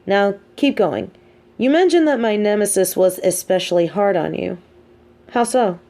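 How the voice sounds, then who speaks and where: A woman playing a sardonic, scheming supervillain in a scripted audio roleplay, with a theatrical, measured, wry delivery and grudging sympathy. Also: medium pitch, lively intonation, mellow, close to an everyday voice. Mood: probing, intrigued. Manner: theatrical, measured, wry, drops into muttered asides.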